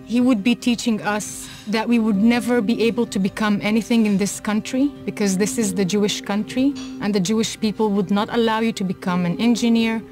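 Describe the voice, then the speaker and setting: A woman from Israel telling her personal story in an earnest, measured way. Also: fairly high pitch, darkish tone. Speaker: speaker in a personal testimony video. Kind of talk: personal testimony. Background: background music.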